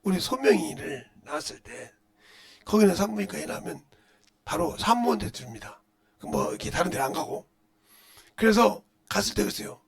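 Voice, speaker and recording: Middle-aged man, pastor, high-pitched for a male, wildly swinging intonation, blunt scolding sermon delivery, mutters then booms. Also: big pitch swings, a little fast, creaky. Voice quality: loud, booming voice. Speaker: pastor preaching. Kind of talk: impassioned Korean-language sermon with rhetorical questions.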